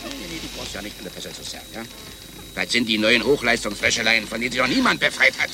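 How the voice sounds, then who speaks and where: Taunting megalomaniac, gravelly, wildly swinging pitch, boastful, jealous, satirical radio play. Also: male voice, very animated, quick pace, noisy background. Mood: jealous, mocking. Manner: theatrical, boastful, swinging between muttering and outbursts. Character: gloating megalomaniac male villain, jealous and taunting.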